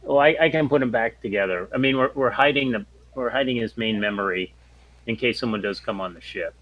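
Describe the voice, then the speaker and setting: A man's voice: mid-range voice, brisk, no room sound, muffled recording. A man playing a tabletop game, talking casually and tentatively as he thinks aloud.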